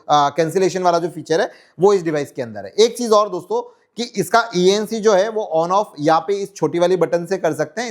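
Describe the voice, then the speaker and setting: High-pitched man presenting a gadget test. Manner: addresses viewers as friends, code-mixes English words. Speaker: host testing walkie-talkie handsets. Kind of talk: product test vlog, explaining to viewers.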